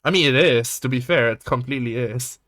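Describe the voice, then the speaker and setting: A man's voice: medium pitch, brisk, dry recording. Rambling foul-mouthed young man, dry mic. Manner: swears a lot, rambling and animated. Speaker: podcast host. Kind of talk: casual chat.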